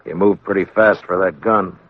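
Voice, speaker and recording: A low-pitched man as a stern western marshal on a dull vintage radio recording. He speaks tersely and with deadpan authority, as in an old radio drama. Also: normal speed. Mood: firm warning. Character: stern, steady frontier U.S. marshal, middle-aged man. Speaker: lead actor and narrator. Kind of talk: 1950s western radio acting and narration.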